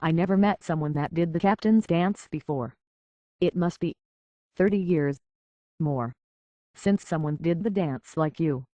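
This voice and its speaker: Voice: mid-range voice, a little slow, pauses often, mellow, no room sound, lightly acted. An actor playing a plainspoken, guarded survivor from a harbor town in a video game, talking in a terse, folksy way. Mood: guarded, stern. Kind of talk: video game character acting.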